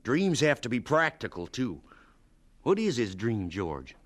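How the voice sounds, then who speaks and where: An actor playing a practical, kindly rural settler who talks in a plainspoken, encouraging way in a radio drama. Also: male voice, mid-range voice, wildly swooping pitch, measured pace. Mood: earnest, supportive.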